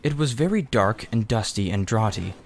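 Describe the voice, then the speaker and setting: A man's voice: lowish voice, animated, moderate pace, vocal fry, a little hiss or hum. A man reading aloud a children's story as scripted audiobook narration. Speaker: audiobook reader.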